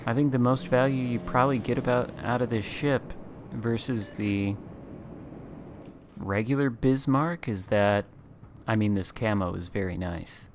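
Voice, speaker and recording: A man chatting casually as a guest while playing a naval battle game, talking in a smooth late-night radio voice. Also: mid-range voice, unhurried, a little hiss or hum. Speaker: guest content creator. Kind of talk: casual gameplay chat.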